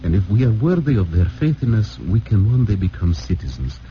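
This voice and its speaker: A man's voice: fairly low pitch, very animated, a little fast, mellow. A man playing a tender, reassuring refugee father, speaking formally in a 1940s radio drama. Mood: solemn, hopeful. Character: gentle, reassuring refugee father, former newspaper editor. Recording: muffled, faintly noisy old radio recording.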